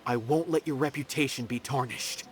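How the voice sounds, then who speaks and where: A man's voice: medium pitch, normal speed, soft background music, close to an everyday voice. A man playing a loyal, earnest and polite young investigator in a video game. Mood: thoughtful, resolute. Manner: earnest, emphatic.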